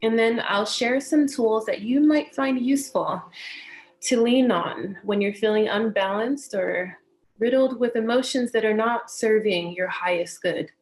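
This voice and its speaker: A woman from California with an American accent, talking gently and unhurriedly as she hosts a guided meditation session and speaks in spiritual phrases. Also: on the high side, unhurried, slight background noise, poor audio. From California, United States. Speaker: meditation teacher and session host. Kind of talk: welcoming introduction to a guided meditation, personal talk.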